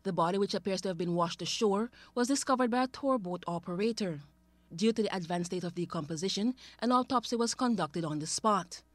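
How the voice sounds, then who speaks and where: An actress playing a formal radio newsreader in a drama, reading a scripted bulletin. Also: female voice, mid-range voice, a little fast, no room sound, close to an everyday voice. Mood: matter-of-fact.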